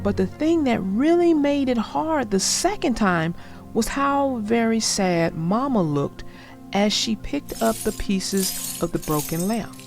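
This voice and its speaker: A woman reading a children's story aloud and talking to young listeners in an expressive, deliberate, instructive way. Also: a little high, soft background music.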